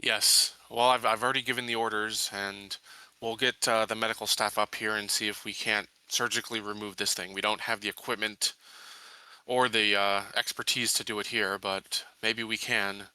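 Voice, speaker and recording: Bright, forceful low-voiced man, tabletop gamer. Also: flat delivery, normal speed, clean tone, no echo, rough recording. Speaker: player in a tabletop roleplaying game. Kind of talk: casual in-game roleplay in his own voice.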